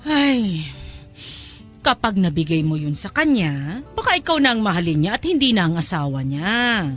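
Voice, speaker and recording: A woman's voice: medium pitch, very animated, moderate pace, faint music behind, close to an everyday voice. An actress playing a pushy, scheming middle-aged mother in a Tagalog audio drama, coaxing and insistent. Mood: persuasive.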